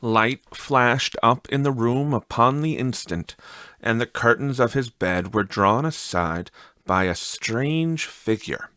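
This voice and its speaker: A man narrating a classic story as a measured, deliberate audiobook reader. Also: medium pitch, sharp tone, no echo, clean studio sound. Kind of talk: scripted literary narration.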